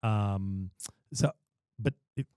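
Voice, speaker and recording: A man talks about his work in an emphatic, passionate way, stumbling over repeated words before each point lands. His voice is mellow and dark, and it is recorded close and dry with almost no room sound. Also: lowish voice, very slow, clean tone, no echo. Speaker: podcast guest, wedding MC. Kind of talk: casual podcast chat.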